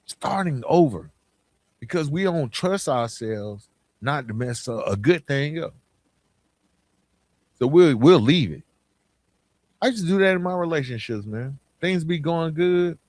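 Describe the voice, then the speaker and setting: A man's voice: on the high side, expressive, a little slow, long pauses, a little mellow, dry recording. An American man hosting a podcast, speaking in a casual motivational monologue, emphatic and colloquial, putting questions straight to the listener. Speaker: podcast host.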